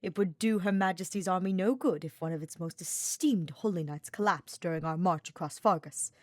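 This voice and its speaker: A sardonic, formal dark mage , a man as a woman reader acts him out in a fantasy storybook. He is wry and grumbling, with stiff, wordy delivery and a dry, close sound. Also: fairly low pitch, a little fast, no room sound, close to an everyday voice.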